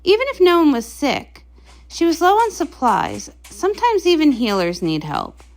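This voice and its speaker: Animated woman narrating a storybook. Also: high voice, moderate pace. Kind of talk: expressive storybook narration of a comic.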